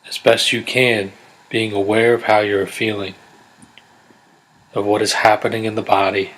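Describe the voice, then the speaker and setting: A man guiding a body scan meditation in a gentle, unhurried, measured way, leaving pauses between instructions. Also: lowish voice, little pitch movement, poor audio. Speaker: meditation guide. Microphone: close USB condenser microphone.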